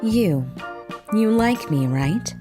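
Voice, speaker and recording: Playful young female teacher, coaxing and teasing, lively high voice over music, played by a woman. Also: drawn-out words. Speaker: voice actor in a manga dub. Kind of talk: dubbed manga dialogue. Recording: background music under the voice.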